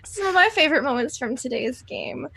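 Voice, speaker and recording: A woman's voice: high-pitched, lively intonation, brisk, sharp tone. A woman chatting casually and playfully as a player on a tabletop roleplay stream. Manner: playful, joking. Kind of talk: casual chat.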